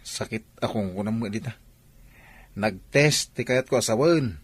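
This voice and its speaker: A man's voice: fairly low pitch, unhurried, husky, no echo. A man acting a grumbling, guarded male boss and cousin in an Ilocano radio drama, with an Ilocano accent. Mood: irritated.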